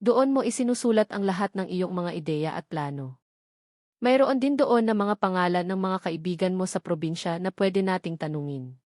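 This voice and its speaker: A woman's voice: fairly low pitch, expressive, normal speed, darkish tone, no echo, studio quality, close to an everyday voice. A Filipino woman voicing a worried, devoted mother in a story, speaking earnestly and with concern.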